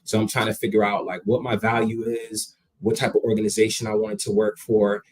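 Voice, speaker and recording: Young guy, jamaican heritage, husky voice, chatty and energetic guest, lots of repeated starts. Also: lowish voice, a little fast. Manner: energetic, conversational, repeats words when starting sentences. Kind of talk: casual interview talk.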